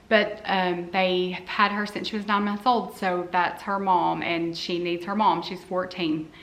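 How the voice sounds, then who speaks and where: A woman's voice: fairly low pitch, a bit bright. A woman in her forties talking casually to camera as a vlogger, earnest and heartfelt, rambling with frequent "um"s. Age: 40s. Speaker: cleaning vlogger.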